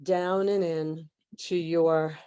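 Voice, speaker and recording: A woman leading a guided meditation in measured, hushed phrases with long pauses, like a spiritual transmission. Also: sits low, flat delivery, clear, resonant tone.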